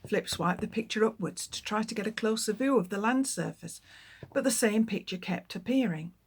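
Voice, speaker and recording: A woman, a children's author, reading her book aloud with lively, expressive storytelling. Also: medium pitch, normal speed, husky.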